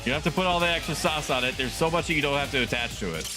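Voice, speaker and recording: A man's voice: fairly high pitch, very fast. A man live-streaming a video game, rambling casually and laughing often as he plays. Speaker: VTuber streamer. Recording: game music playing under the voice. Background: game music.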